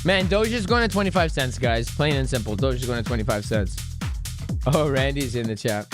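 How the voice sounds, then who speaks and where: A man's voice: medium pitch, lively intonation, brisk, music in the background. A young man hosting a casual crypto trading livestream, joking with chat and rambling, his voice a little worn. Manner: joking, rambling, breaks into singing. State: slightly lost voice. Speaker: livestream host, crypto trader.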